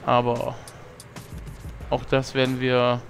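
A hoarse man hosting a let's play, chatting casually and self-mockingly, thinking aloud with fillers as he plays. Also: mid-range voice, monotone, slow pace, soft background music. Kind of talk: casual gameplay commentary.

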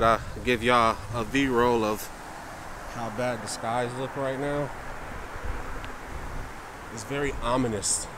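A man's voice: medium pitch, noisy background. An American man, a vlogger, chatting casually to the camera in a laid-back, repetitive way. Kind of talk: casual vlog chat to camera. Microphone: handheld camera at arm's length.

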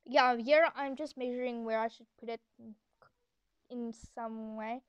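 A woman's voice: on the high side, fairly even intonation, unhurried, clear, resonant tone, no room sound, dull audio. A young girl doing a casual, chatty and rambling gaming voiceover as the host of the video. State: sore throat. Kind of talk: casual game commentary voiceover. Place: echoey room at home, voice-over.

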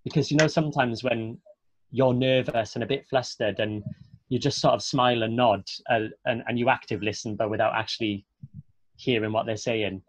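A man's voice: mid-range voice, steady tone, normal speed, no room sound. A young Welsh man with a Welsh accent, an actor chatting on a video call, talking in loose runs full of fillers and restarts. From Wales. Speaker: actor, guest speaker. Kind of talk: casual video-call interview. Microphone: earphone mic on a video call. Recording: dry, slightly dull video-call audio.

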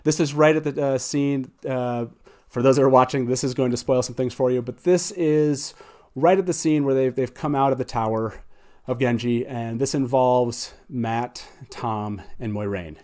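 A man in his forties hosts a show in a casual, conversational way. His voice sits at an average male pitch, picked up by a studio mic. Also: moderate pace, darkish tone. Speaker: show host. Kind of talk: casual talk introducing a reading. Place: small wood-panelled studio. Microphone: close studio microphone on boom arm.

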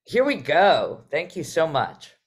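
A woman's voice: low-pitched, big pitch swings, slow, background noise. A middle-aged woman, hosting a theater talk in a conversational way over a video call. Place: indoors on a video call, virtual theater backdrop.

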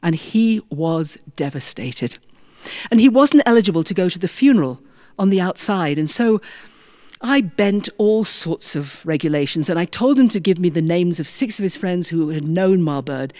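Expressive woman storyteller, very lively pitch. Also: dry recording, studio quality. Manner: expressive, dramatic pauses and emphatic repetition. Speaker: storyteller, former prison chaplain. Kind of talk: live-style personal storytelling with acted dialogue.

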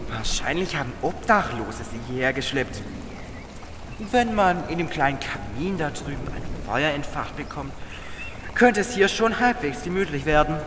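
Cocky, high-voiced boy adventurer played by a man. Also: animated, moderate pace, lightly acted. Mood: matter-of-fact. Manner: sarcastic, know-it-all. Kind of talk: children's audio drama acting. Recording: long reverb, clear background noise.

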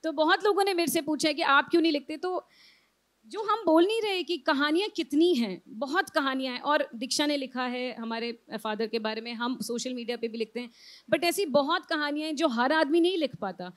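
A woman's voice: high voice, fairly quick. A woman in her thirties with an Indian accent, an author speaking on a festival panel into a handheld mic, reflective and full of fillers, slipping into English phrases. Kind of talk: panel discussion. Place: outdoor literary festival stage.

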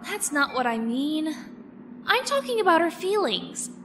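A woman's voice: high-pitched, sing-song, unhurried, fairly bright tone, slight background noise, heavily acted. A woman playing a caring young crew member in an audio drama, speaking casually and expressively. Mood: worried.

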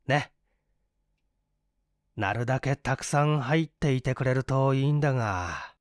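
A woman's voice: a bass voice, a little slow, dry recording, studio quality, pitched below the performer's own voice. A woman voicing a hungry, jobless man who is brazen and wry, with polite but pushy old-fashioned speech, in a story reading. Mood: brazen, coaxing.

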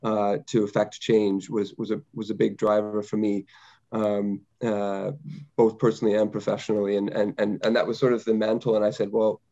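Halting low-voiced man on a video call. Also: little pitch movement, mellow. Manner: halting, many repeated words and fillers. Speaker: panelist, bank executive. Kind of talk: webinar panel remarks. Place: home or office video call. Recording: slightly dull video-call audio.

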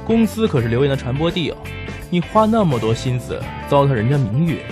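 A man's voice: medium pitch, sing-song, fairly quick, lightly acted. A man playing a teasing, cheeky young boss and buddy in an amateur audio drama, with a playful manner. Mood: mock reproach. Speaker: amateur voice actor. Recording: loud music behind the voice.

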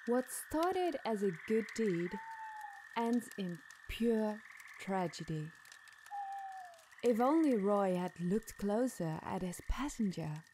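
A woman's voice: medium pitch, big pitch swings, slow. Woman narrating a scary story, noisy background. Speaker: podcast storyteller.

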